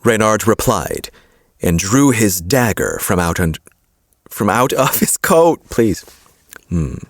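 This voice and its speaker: A man's voice: on the low side, big pitch swings, takes it slowly, no echo, studio quality. A man reading scripted audiobook narration and repeating lines in retakes.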